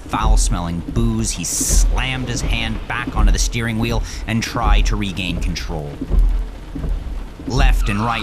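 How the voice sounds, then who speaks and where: A man narrating a scripted horror story intensely, dropping to a hush in grim moments. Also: fairly low pitch.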